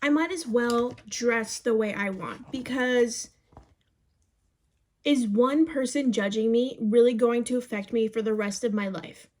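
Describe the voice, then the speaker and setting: A woman's voice: fairly high pitch, unhurried, darkish tone. A young woman around twenty giving chatty, encouraging advice to her phone camera, calling her viewers "babes". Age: young adult. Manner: chatty and encouraging, says "babes" and "you guys".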